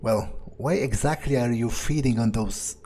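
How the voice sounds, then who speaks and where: A man's voice: medium pitch, normal speed, dark tone, a little hiss or hum. A man commentating a video game playthrough in character, musing to himself in a casual, dry way. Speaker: gamer playing in character. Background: faint game music.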